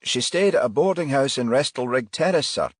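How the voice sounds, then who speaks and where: A man's voice: mid-range voice, expressive, brisk, slightly rough, dry recording, pitched above the performer's own voice. A man playing a blunt, sardonic male detective constable in a crime audiobook. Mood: reporting.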